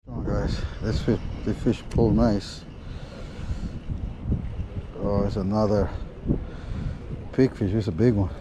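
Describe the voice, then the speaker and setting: Low-voiced man vlogging through loud wind. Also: fairly even intonation, takes it slowly, pauses often. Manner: informal, slangy, addressing viewers as guys. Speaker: fishing vlogger. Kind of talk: casual running commentary to camera while fishing. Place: outdoors on an ocean fishing pier. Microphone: body-worn action camera. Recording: loud wind noise on action camera outdoors. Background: loud wind and sea ambience.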